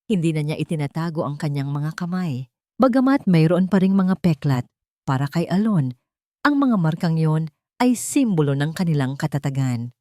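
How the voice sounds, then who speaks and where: A woman's voice: deep voice, animated, moderate pace, dark tone, dry recording, clean studio sound. A woman giving an earnest, scripted dramatic narration as a sentimental storyteller. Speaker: storyteller and channel host.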